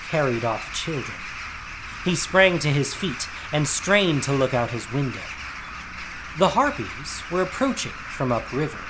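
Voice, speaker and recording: A man's voice: a little high, noisy background. A man narrating a fantasy audio drama as a scripted, dramatic storyteller.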